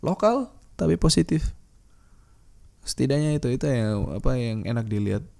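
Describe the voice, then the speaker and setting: A man's voice: medium pitch, expressive, unhurried, gravelly, mellow. A man hosting a solo podcast, talking in a casual, slangy, rambling way and thinking aloud with hesitations.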